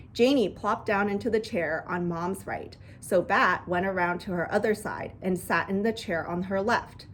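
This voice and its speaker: A woman reading a children's book aloud in an expressive storytelling style. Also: medium pitch, a little hiss or hum. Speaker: storybook reader.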